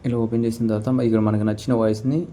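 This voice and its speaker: A man's voice: on the low side, level tone, rapid-fire, warm tone, slight background noise. A young man giving a casual step-by-step gaming tutorial as host.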